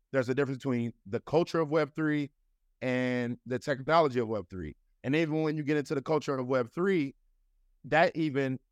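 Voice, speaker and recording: A man's voice: mid-range voice, no echo. Rambling man in his thirties, dry sound. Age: 30s. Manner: loose, rambling, heavy with fillers like "you know" and "like". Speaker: interview guest. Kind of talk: casual conversational interview.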